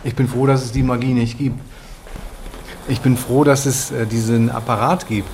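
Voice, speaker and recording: A man's voice: medium pitch, fairly even intonation, moderate pace, a little mellow, slight background noise. A man who makes radio features, talking reflectively and casually as he searches for words. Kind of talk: casual discussion.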